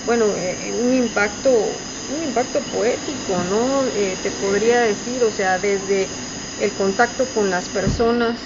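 A Guatemalan woman speaks slowly and searches for her words, filling her pauses and checking in with her listener. The recording sounds muffled and dull. Crickets chirp loudly behind her. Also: mid-range voice, noisy background. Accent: Guatemalan Spanish. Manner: searching, hesitant, many fillers and rhetorical tags. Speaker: poet, interview guest. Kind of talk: reflective interview answer.

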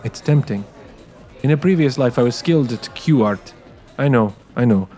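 A man's voice: mid-range voice, lively intonation, normal speed, dark tone. Man narrating dramatized fiction over music. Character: wary, streetwise male ex-con in a tech dystopia. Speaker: narrator of a dramatized story, in character. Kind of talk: first-person dramatized audio fiction narration.

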